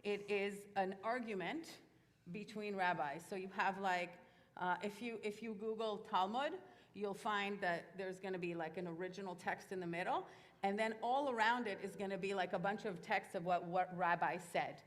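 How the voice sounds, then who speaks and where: A woman's voice: mid-range voice, moderate pace. A woman from Israel, a Hebrew speaker, explaining things to a youth group in a chatty, informal way with plenty of fillers. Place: synagogue sanctuary. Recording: echoey hall.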